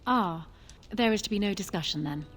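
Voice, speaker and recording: An actress playing a proud, ambitious queen in a fantasy video game, speaking formally with calm, measured persuasion. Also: mid-range voice, natural voice. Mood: coolly polite. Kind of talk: fantasy game dialogue acting.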